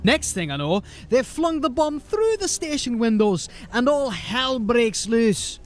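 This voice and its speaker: A man's voice: extremely high pitch, big pitch swings, measured pace, faint music behind, heavily acted. A man playing a folksy, rambling, deferential corporal of a fantasy city watch in an audio drama. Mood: rambling, excited. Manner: folksy, rambling, eager to please.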